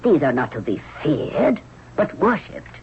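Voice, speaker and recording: A woman's voice: low-pitched, very animated. Imperious Egyptian queen mother. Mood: firm. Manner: imperious, measured, formal. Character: stern, commanding queen mother of ancient Egypt. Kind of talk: 1970s radio drama acting. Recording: muffled, noisy old radio recording.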